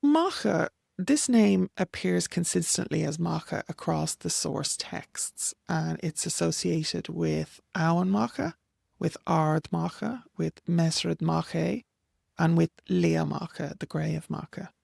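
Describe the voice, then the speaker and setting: A woman's voice: on the low side, takes it slowly, gravelly, darkish tone, dry recording, clean studio sound. A middle-aged Irish woman with an Irish accent, plainly and firmly explaining name pronunciations over slides. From Ireland. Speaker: author and teacher.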